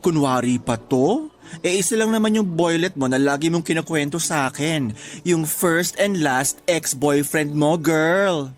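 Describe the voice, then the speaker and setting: An actor voicing a woman who is a teasing, blunt office friend, with exaggerated, drawn-out delivery in a radio drama. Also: low voice. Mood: playful, teasing. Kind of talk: Tagalog radio drama dialogue.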